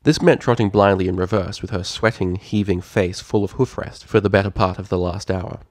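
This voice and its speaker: A man's voice: deep voice, fairly even intonation, fairly quick, no room sound, clean studio sound. A man reading fan fiction aloud as a scripted, dramatic story narrator.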